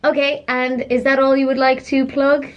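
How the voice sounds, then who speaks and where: A woman hosting a podcast, chatty and quick to laugh. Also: high-pitched, little pitch movement. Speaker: podcast host. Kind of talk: casual podcast chat. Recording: slightly dull, muffled audio.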